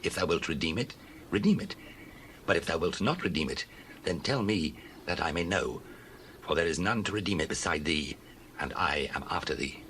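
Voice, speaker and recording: An actor playing a kindly, dignified landowner of ancient Israel in a dramatized Bible reading, solemn and measured. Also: male voice, deep voice, expressive, fairly bright tone, a little hiss or hum. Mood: formal.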